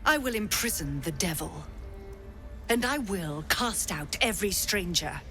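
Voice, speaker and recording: A woman's voice: medium pitch, animated, a little slow, fairly bright tone, soft background music. An actress playing a stern, ruthless female elf druid leader in a video game, with a cold, commanding, threatening manner. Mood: cold contempt. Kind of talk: video game cutscene acting.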